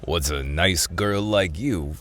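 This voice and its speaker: An actor playing a streetwise reformed thief in a radio drama, with a casual, wry way of talking. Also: male voice, low voice, slow pace, fairly bright tone, exaggerated voice. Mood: flirtatious, wry. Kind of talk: radio drama acting and first-person narration.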